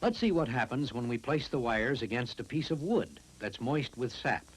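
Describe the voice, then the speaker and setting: A man reads scripted science narration for a classroom film, explaining in a deliberate, instructive way. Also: mid-range voice, lively intonation, slight background noise, poor audio.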